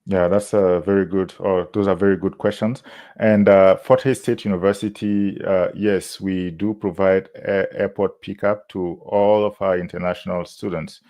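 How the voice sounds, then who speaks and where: A man from Burkina Faso, speaking English as a later language, giving measured advice as a university admissions officer, with many "uh" pauses. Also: deep voice, level tone. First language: not English. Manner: measured, frequent "uh" fillers, warm laughs. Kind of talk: interview answers, advice to students.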